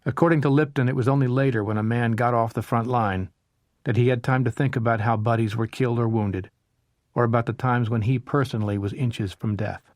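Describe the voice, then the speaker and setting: A man reads history narration in a measured, steady manner. His voice is middle-pitched and recorded close and dry on a studio mic. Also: no echo. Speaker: audiobook narrator. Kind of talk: scripted narration.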